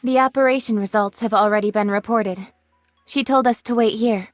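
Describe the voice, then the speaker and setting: An actress plays a level-headed young woman soldier in a video game. She speaks composedly in a slightly high voice, matter-of-fact, close and dry over soft music. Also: fairly even intonation, fairly quick, no room sound, close to an everyday voice. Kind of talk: English video game voice acting.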